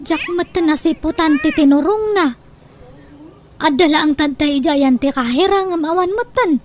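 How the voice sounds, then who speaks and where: A woman's voice: sits high, moderate pace, close to an everyday voice. A woman playing a lovestruck young daughter in a comedy radio drama, plaintive and coaxing, defiant with her mother. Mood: puzzled. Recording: faint background noise.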